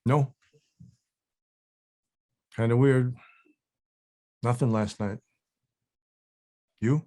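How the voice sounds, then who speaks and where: A man plays a laid-back charmer with folksy manners and some menace under them. His pitch is average for a man. It is delivered as a table read. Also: steady tone, drawn-out words, dark tone. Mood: guarded.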